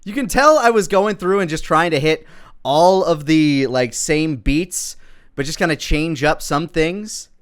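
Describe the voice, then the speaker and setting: A man's voice: fairly high pitch, animated, unhurried, clear, resonant tone. A man giving casual, self-critical commentary on his own video, thinking aloud as he goes.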